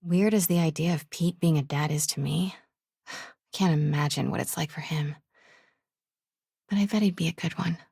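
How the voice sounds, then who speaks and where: A woman voice actress playing a determined young investigative reporter in a video game, with an American accent and a breathy, hushed manner. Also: sits low, normal speed, pauses often, dry recording, studio quality, heavily acted. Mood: gentle, wondering. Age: young adult. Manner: hushed, self-talking, breathy.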